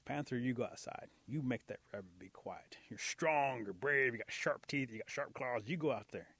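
A man's voice: medium pitch, normal speed, fairly bright tone, husky, no room sound. A man voicing an irritable, commanding eagle who leads a council of animals in a storybook tale. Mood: irritated. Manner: authoritative, giving orders.

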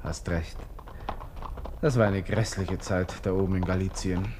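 An actor playing a despairing young army lieutenant with an Austrian accent, talking to himself haltingly in a radio drama monologue. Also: male voice, sits low, fairly even intonation, background noise. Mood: anguished, reflective. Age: 20s.

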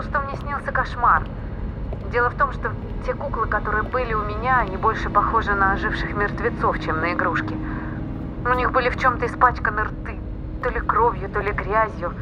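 An actress playing a calm, chatty spaceship officer in an audio drama, with a pleasant, conversational voice. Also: female voice, mid-range voice, background noise, close to an everyday voice.

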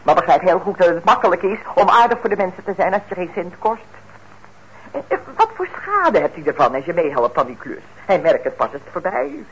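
A woman's voice: fairly low pitch, very animated, brisk, breathy edge, heavily acted. An actress playing a bitter, manipulative mother nearing forty in a radio play, nagging and wheedling. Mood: pleading, insistent. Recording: dull, noisy old radio recording.

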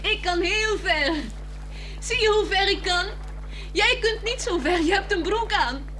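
An actress playing a playful, flirtatious married woman in a radio play, teasing and coaxing, moving between hushed words and exclamations. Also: extremely high pitch, measured pace, a little hiss or hum, exaggerated voice. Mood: playful, daring. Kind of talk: 1960s Dutch radio play acting. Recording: 1960s radio drama with music.

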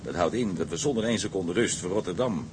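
An actor playing a hearty, boastful, gossipy ship's engineer in a Dutch radio drama, talking in a chummy, colourful, colloquial way. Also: male voice, deep voice, lively intonation, fast talker. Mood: proud, hearty. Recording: noisy engine ambience behind.

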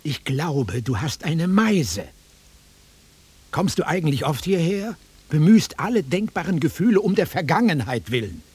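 An actor playing an irritable, sceptical city-bred man in a German radio drama, curt and sarcastic, with a very raspy, breathy voice. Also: a little high, sing-song, measured pace, a little mellow, dry recording, full character voice.

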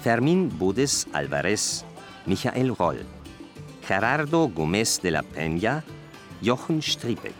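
A man reading radio drama credits as a scripted, deliberate announcement. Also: lowish voice, very animated. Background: music.

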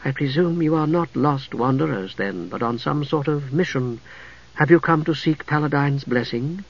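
A man's voice: on the high side, moderate pace, slightly rough, highs cut off. A man voicing a serene blind priestess. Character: serene blind high priestess, noble woman.

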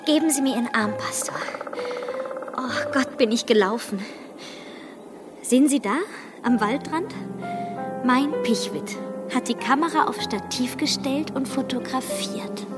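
A playful young baroness with a high voice. She is dreamy, exuberant and effusive, in a radio drama with music behind her. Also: unhurried, pauses often. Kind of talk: German radio play acting.

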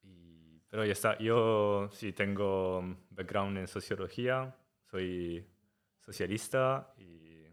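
A man from Italy with an Italian accent, a podcast guest who speaks halting non-native Spanish and searches for words. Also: deep voice, a bit bright. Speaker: guest, cooperative member. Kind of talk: casual podcast conversation.

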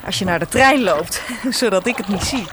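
Woman acting a breezy traveller sister, Dutch accent, animated, noisy background. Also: mid-range voice, moderate pace, natural voice. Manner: breezy and teasing, with frequent laughs. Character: adult sister, cheerful world traveller. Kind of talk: radio drama acting.

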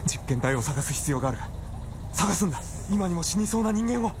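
An actor playing a fervent, obsessed scientist in a radio drama, intense and urgent. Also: male voice, high-pitched, very animated, fairly quick, noisy background. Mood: urgent resolve. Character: obsessed male scientist husband, fervent, driven by dark experiments.

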